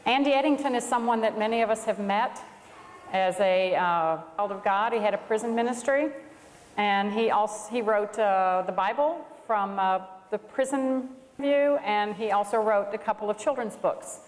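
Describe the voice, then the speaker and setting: A woman's voice: medium pitch, moderate pace. Woman reading aloud in an echoey church. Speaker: reader at a church. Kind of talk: children's storybook read aloud to a congregation. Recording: echoey hall with long reverb.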